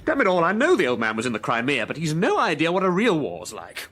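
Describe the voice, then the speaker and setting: Nervy, animated English war veteran. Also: male voice, on the high side, fast talker. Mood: aggrieved. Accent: upper-class English. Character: bitter, nervy English war veteran, gassed ne'er-do-well gentleman. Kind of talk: radio drama acting.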